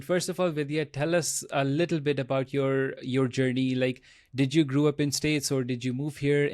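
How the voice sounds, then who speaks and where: A man hosting a podcast, asking questions in a halting way with many restarts. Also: medium pitch, a little mellow, dry recording, studio quality. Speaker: podcast host. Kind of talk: casual interview questions.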